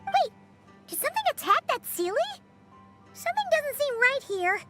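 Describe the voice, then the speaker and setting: Casting: childlike game fairy, squeaky-high and lively, curious temper, music bed. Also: female voice, normal speed. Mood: alarmed. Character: small childlike female fairy companion, chirpy and curious. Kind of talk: video game character acting. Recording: music behind the voice.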